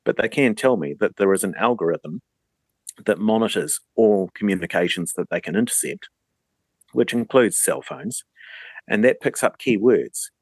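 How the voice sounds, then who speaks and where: A man speaks as an interview guest. He explains things in measured, deliberate phrases, and the sound is dry with hardly any room to it. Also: lowish voice, husky, no room sound.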